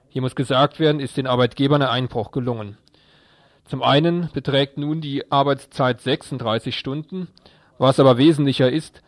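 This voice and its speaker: Guy reading a scripted radio news report, mid pitch, really dry close sound. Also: dry recording.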